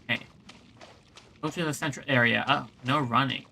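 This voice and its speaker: A woman's voice: rumbling low, moderate pace, gravelly, pushed and bright, slight background noise. A woman streaming a game with casual, playful muttered reactions over game music.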